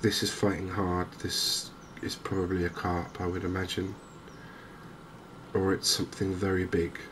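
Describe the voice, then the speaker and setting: A man hosts a cozy gaming video in a soft, low voice. His delivery is gentle and unhurried, with very little rise and fall, as if musing to himself. Clear game ambience runs behind him. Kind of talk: softly spoken cozy ASMR gaming commentary. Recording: clear steady ambient background noise.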